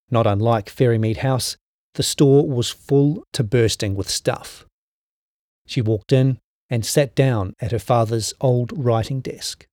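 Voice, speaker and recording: A man reading scripted documentary narration in a measured storytelling manner. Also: medium pitch, a little mellow, no echo, studio quality. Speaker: podcast narrator. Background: background music.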